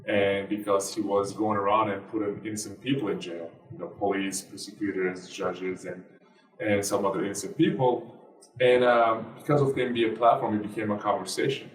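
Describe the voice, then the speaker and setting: Turkish-accented man, deep and distant. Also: level tone. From Turkey. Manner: earnest, halting, many fillers and broken grammar. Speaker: guest, basketball player and activist. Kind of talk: interview answers. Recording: roomy, distant from the mic.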